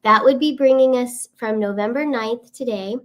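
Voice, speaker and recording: A woman hosting her own channel, talking casually and enthusiastically to her viewers, rambling with many pauses and restarts. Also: a little high. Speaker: psychic and astrology channel host. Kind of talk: casual chatty vlog to viewers.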